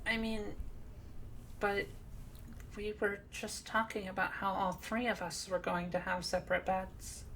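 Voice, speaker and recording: Casting: actress as shy, nervous cat girl for audio roleplay, mid-pitched with vocal fry, hesitant and polite, faint background noise. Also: little pitch movement, a little slow, poor audio. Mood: uneasy. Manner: hesitant, polite, softly reluctant. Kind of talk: ASMR roleplay acting.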